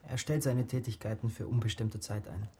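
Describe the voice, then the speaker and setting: A man's voice: on the low side, fairly even intonation, brisk, mellow, a little hiss or hum, natural voice. An actor playing a restless, defiant teenage boy from a poor family in a radio drama, agitated and sullen. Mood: sober.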